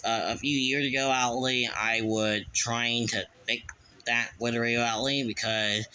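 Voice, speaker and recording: A man hosting his own channel, showing his collection in a halting, rambling way, full of restarts and fillers. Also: fairly low pitch, bright, forceful tone, poor audio. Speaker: channel host. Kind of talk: casual collection show-and-tell.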